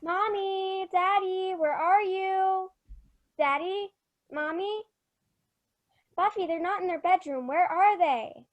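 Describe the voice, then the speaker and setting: A high-pitched little girl in a radio play, voiced by a female actor, eager and anxious for her parents. She is plaintive and keeps insisting. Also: slow pace, long pauses, lo-fi sound. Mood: searching, anxious. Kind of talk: old-time radio drama acting.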